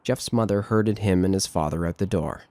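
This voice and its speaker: A man's voice: low-pitched, moderate pace, darkish tone, no room sound. A man narrating a scripted horror story.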